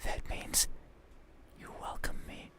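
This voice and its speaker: A man voicing a whispering, lonely creature that slowly grows, speaking in a breathy, soft, unsettling murmur. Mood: creepy whisper. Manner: slow, soft, unsettling, intimate. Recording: whisper over loud game music.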